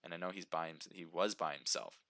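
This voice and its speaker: A man giving chatty play-by-play commentary on a live mobile game match, his voice slightly hoarse from a sore throat. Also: deep voice, fast, sharp tone, no room sound, studio quality. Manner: chatty, upbeat, reads viewer names aloud.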